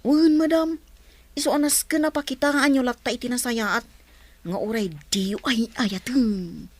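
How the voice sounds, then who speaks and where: A woman's voice: a little high, fairly even intonation, measured pace, dry recording. A woman voicing an eager young male servant who talks earnestly. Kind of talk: radio drama acting.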